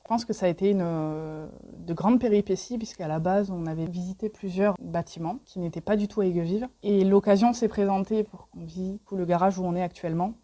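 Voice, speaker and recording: Warm-voiced woman in her thirties. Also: fairly low pitch, a little fast, few pauses. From Paris region, France. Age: 30s. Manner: enthusiastic, chatty, frequent fillers. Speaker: interviewee, garage co-owner. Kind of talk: casual interview answers.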